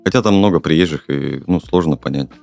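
A man's voice: rumbling low, a little fast, gravelly, darkish tone, soft background music. A young man from Kazakhstan, a podcast guest talking casually and hesitantly, with many fillers and restarts. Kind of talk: casual interview talk.

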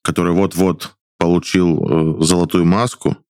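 A young man in his twenties, a guest actor in casual studio chat, who talks hesitantly with many pauses, restarts and chuckles. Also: low voice, level tone, darkish tone, dry recording. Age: 20s.

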